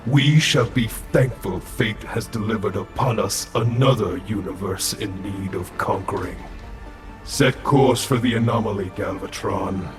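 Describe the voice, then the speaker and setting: A man voicing an arrogant robot commander in a scripted audio drama, with a pompous, deliberate, commanding delivery. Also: lowish voice, close to an everyday voice. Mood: imperious. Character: imperious robot warlord commander, cold and arrogant. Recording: echoey voice over music.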